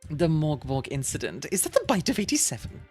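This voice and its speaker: A woman's voice: low-pitched, very animated, a little fast, a little mellow, breathy edge, soft background music. A woman from Belgium with a British-leaning English accent, streaming and chatting casually with her viewers, bubbly and giggly with lots of fillers. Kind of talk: casual chat reading live chat.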